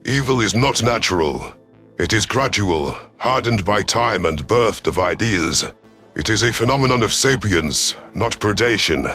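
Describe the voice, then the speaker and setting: A man voicing a solemn, remorseful reptilian alien predator with measured, formal speech in an audio sci-fi story. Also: lowish voice, animated, vocal fry, sharp tone, faint music behind, voice pushed down, heavily acted.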